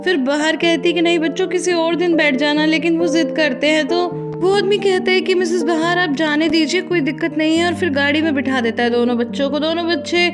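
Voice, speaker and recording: Woman, recap host, bright high voice, chatty and direct with viewers, music bed underneath. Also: fairly even intonation, a little fast, few pauses. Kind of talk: conversational plot recap. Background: background music.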